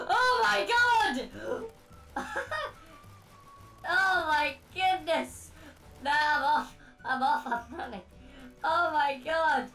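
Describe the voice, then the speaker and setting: High, bright-voiced woman gamer in echoey room. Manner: excitable, prone to shrieking exclamations. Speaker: gaming video host. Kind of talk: excitable gameplay commentary. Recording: roomy echo, distant mic.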